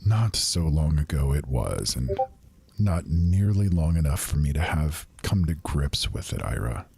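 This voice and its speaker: A man voicing a sorrowful, lovelorn male traveler who speaks slowly in mournful, old-fashioned phrasing. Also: extremely low pitch, sing-song, gravelly, darkish tone, breathy edge, pitched below the performer's own voice, full character voice. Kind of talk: storytelling.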